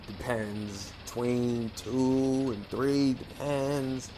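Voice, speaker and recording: A man's voice: medium pitch, little pitch movement, drawn-out words, noisy background. A man playing a folksy, laconic older ticket agent in a radio play. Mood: matter-of-fact.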